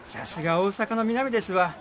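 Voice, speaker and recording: A woman's voice: medium pitch, normal speed, noisy background, close to an everyday voice. A woman acting in a radio drama as a friendly, chatty saxophone player out shopping. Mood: cheerful.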